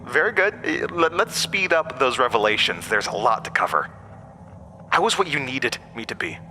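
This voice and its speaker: Horror podcast villain, male actor: undying hatted entity, very bright forceful edge, mocking and weary, theatrical, faint noise behind. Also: medium pitch, very animated, moderate pace. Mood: exasperated, mocking. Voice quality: broken-windpipe voice, borrowed from another man. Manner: mocking, theatrical, cryptic, chuckling asides. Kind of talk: horror audio drama acting.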